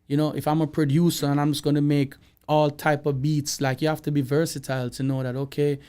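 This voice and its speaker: A man with a Jamaican accent, a songwriter and producer guest, talking casually in an interview, animated and slipping into patois. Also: fairly high pitch, fairly quick, clear, resonant tone. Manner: animated, slips into patois, acts out quoted voices.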